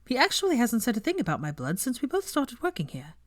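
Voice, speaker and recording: A woman's voice: fairly high pitch, wildly swooping pitch, quick pace, voice pushed up. A woman voicing a prickly, indignant young witch who teaches school, in audiobook dialogue. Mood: concerned. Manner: snappish, indignant.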